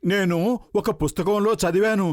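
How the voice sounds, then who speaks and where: A man's voice: high-pitched, steady tone, moderate pace, no echo, studio quality, close to an everyday voice. A man voicing a sulky, childish, food-loving hero in a cartoon dub, mopey and demanding. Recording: loud music behind the voice.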